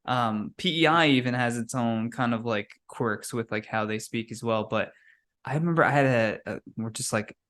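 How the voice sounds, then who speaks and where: A man's voice: mid-range voice, fairly bright tone. Chatty man riffing on a podcast. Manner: chatty, quick to laugh and riff. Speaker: podcast host. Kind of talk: casual chat.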